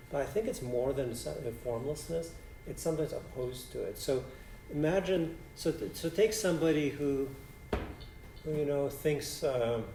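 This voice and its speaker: Soft-toned Polish-native professor, roomy hall. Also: male voice, medium pitch, slight background noise. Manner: thinks aloud, restarts phrases, frequent "right?". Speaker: philosophy professor. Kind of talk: answering audience questions after a lecture.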